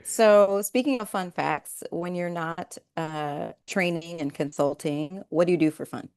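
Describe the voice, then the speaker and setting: A woman's voice: lowish voice, unhurried, a little mellow, smooth, no echo, studio quality. A woman hosting a webinar interview, enthusiastic and upbeat. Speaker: host.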